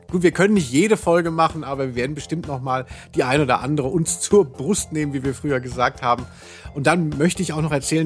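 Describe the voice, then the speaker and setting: Guy chatting on a podcast, voice a bit high, kinda wry and rambly, faint music. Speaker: podcast host. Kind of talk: casual podcast chat.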